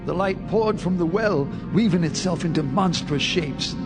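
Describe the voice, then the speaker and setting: An actor playing an old American farmer, bitter and unhinged, telling of horrors in a folksy, intense audio drama style. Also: male voice, sits high, moderate pace, loud music behind the voice, natural voice. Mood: urgent dread. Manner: folksy, intense storytelling with theatrical swells.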